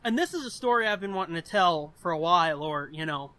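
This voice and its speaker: A man's voice: sits high, animated, fast talker, sharp tone, no room sound. A man in his twenties telling a story on a podcast in a rambling, casual way, swearing often. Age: 20s. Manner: profane and rambling. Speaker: podcast co-host. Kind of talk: casual chat.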